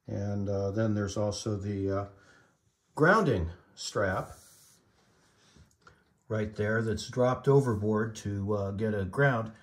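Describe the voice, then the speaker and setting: Middle aged guy showing off old gear at home, plain factual talk, kinda halting, informal. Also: on the low side. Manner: halting, matter-of-fact. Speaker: collector demonstrating vintage equipment. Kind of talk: informal demonstration narration. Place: indoors in a room at home.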